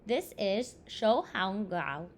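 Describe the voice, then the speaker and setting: A woman's voice: mid-range voice, animated, takes it slowly, slight background noise. A woman voicing a patient, assured mother in a storybook, explaining things in a matter-of-fact, reassuring way. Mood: instructive.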